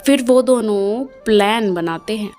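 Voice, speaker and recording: A woman narrating a storybook tale in a plain, unhurried way. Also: mid-range voice, darkish tone, soft background music.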